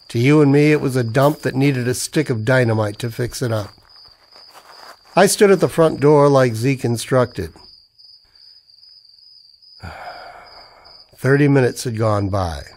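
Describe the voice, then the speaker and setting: A man's voice: mid-range voice, darkish tone, natural voice. A man playing a wary, hard-up army-veteran husband in a radio drama, with a sardonic, colloquial delivery. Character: broke, wary army-veteran husband narrating his noir misadventure. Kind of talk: old-time radio drama acting and first-person narration.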